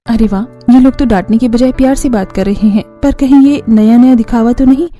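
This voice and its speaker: A woman voicing a nervous young new bride from a family cartoon. Her voice sits high and soft-edged, worried and apologetic, with music playing behind it. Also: brisk, natural voice. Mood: amazed, wary. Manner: apologetic, worried, wide-eyed. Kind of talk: cartoon family story dialogue.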